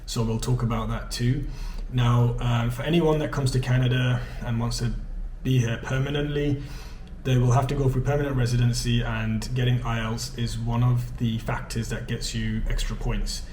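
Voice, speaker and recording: A man's voice: mid-range voice, level tone, moderate pace, darkish tone, roomy sound, a little hiss or hum. A man from England with an English accent, chatting casually to camera in a vlog, rambling with many ums and restarts. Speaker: vlogger. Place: his home in Canada.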